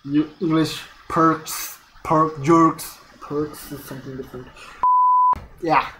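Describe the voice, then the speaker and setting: A man's voice: a little high, steady tone, slow pace, sharp tone. Rambling young Indian man, noisy room. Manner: rambling, self-interrupting, casual swearing. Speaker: YouTube vlogger, student. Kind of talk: casual unscripted vlog chat. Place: small bare room indoors. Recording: noisy room with background rain.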